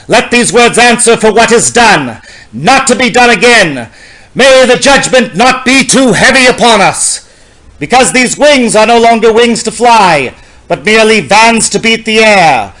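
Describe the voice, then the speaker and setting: A man giving a dramatic poetry reading in a solemn, deliberate, theatrical and incantatory manner. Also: high voice, expressive, sharp tone, poor audio. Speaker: poetry reader.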